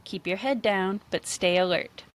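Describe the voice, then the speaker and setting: A woman reading the part of a brisk half-orc policewoman in a fantasy storybook, firm and chiding but friendly. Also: medium pitch, lightly acted, poor audio.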